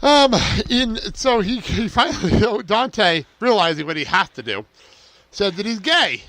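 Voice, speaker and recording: A man's voice: high voice, lively intonation, normal speed, bright, forceful tone, no room sound. A man co-hosting a podcast, casually recapping a cartoon episode in an informal, giggly, profane way. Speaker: podcast co-host.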